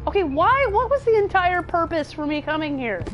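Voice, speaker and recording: A woman's voice: very high voice, very animated, a little fast, fairly bright tone, slight background noise. A young woman livestreaming a video game, chatting with viewers in a profane, rambling way. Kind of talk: casual gaming livestream chat.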